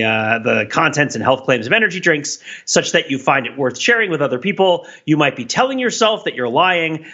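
A man co-hosting a podcast, talking analytically and emphatically in a casual, animated discussion, on a slightly dull microphone. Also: fairly high pitch. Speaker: podcast co-host.

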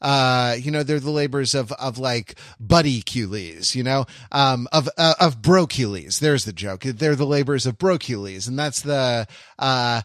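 A man's voice: medium pitch, a little slow, a bit bright, no echo. Rambling podcast man, dry close mic. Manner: rambling, many restarts, laughing asides. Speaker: podcast co-host. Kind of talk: casual discussion.

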